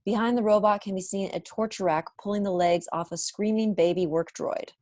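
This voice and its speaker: A woman's voice: mid-range voice, steady tone, brisk, darkish tone, no echo, clean studio sound. A young woman reading stage directions as scripted narration for an online table read. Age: young adult. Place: room at home, on a video call.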